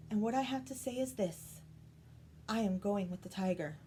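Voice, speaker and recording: A woman voicing a quiet, calmly determined young princess in a soft, hushed storybook voice. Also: moderate pace, slight background noise, close to an everyday voice. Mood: calm, resolute. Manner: soft, hushed, higher than the narration.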